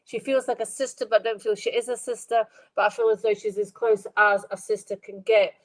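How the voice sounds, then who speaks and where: A middle-aged woman with a stuffed-up, congested nose gives a psychic reading on a live stream. She talks gently and meanders, circles back on her own phrases, and calls out viewers by name as she goes. Her pitch sits in the middle of the range. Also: flat delivery, fairly quick, vocal fry. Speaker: medium giving live readings.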